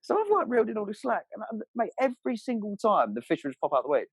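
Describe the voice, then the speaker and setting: A man's voice: high-pitched, huge pitch swings, fast, slightly rough, no echo. A man telling stories casually as a podcast guest, in a matey, slangy way with plenty of swearing. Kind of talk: casual animated storytelling.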